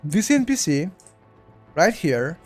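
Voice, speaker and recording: A man's voice: fairly high pitch, very animated, takes it slowly, soft background music. A man with a Bulgarian accent, talking through a game walkthrough on a live stream and speaking straight to his chat, often repeating himself. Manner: chatty, addresses chat directly, repeats himself.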